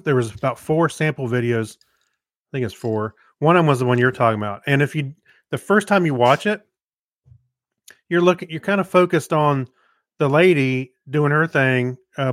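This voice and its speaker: A man's voice: medium pitch, normal speed, no echo, clean studio sound. A man co-hosting a podcast, chatting casually and explaining things in an amused, conversational way. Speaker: podcast co-host. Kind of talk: casual chat.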